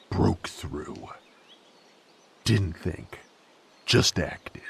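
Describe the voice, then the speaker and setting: A male actor playing a brooding, rough knight with a violent past in an audio roleplay, speaking wearily in folksy, rough diction. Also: a little hiss or hum, full character voice. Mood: grim, intense. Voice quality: very low, gravelly. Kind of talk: dramatic character monologue, audio roleplay.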